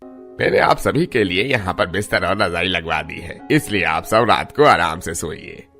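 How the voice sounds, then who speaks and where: A man's voice: deep voice, expressive, moderate pace, sharp tone, faint music behind, exaggerated voice. A man playing a generous, hospitable wealthy village merchant in a cartoon story, speaking formally and courteously. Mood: hospitable, concerned. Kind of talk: Hindi cartoon story acting.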